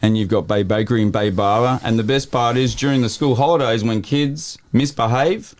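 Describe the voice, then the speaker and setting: A man's voice: mid-range voice, a little fast. Joking Australian man, laid-back. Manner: jokey, laid-back, full of "mate". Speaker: guest co-host. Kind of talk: casual banter.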